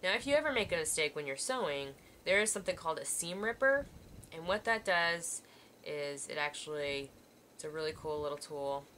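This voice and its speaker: A woman's voice: low-pitched, fairly bright tone, a little hiss or hum. A woman art teacher giving a patient, reassuring step-by-step sewing demonstration while working with her hands at a table. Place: indoors at a table, overhead camera on hands.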